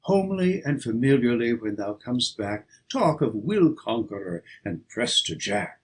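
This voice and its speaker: A man's voice: mid-range voice, big pitch swings, normal speed, slightly rough. A man reading poetry aloud as an audiobook, in a declamatory, theatrical manner. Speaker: audiobook reader. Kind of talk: dramatic poetry recitation.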